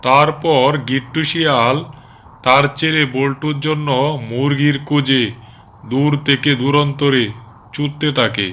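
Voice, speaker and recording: A man giving scripted narration for an animated animal story. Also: medium pitch, a little slow.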